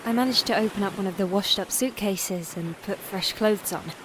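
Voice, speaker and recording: A woman playing a frightened, weary young plane-crash survivor in an audio drama monologue, confiding in a halting, plain-spoken, wryly understated way. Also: medium pitch, lightly acted. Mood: uneasy, hopeful. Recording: clearly noisy background.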